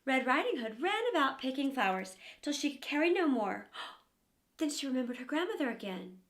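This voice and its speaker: A woman reading a fairy tale aloud to children as an expressive storyteller. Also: high voice, moderate pace.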